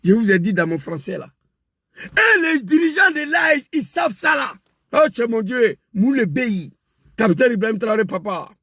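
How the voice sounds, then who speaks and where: Man, gravelly, dry close sound, fiery political rant. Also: very high-pitched, big pitch swings, normal speed, no room sound. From West Africa. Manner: emphatic, repetitive, rising to shouts. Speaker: political commentator.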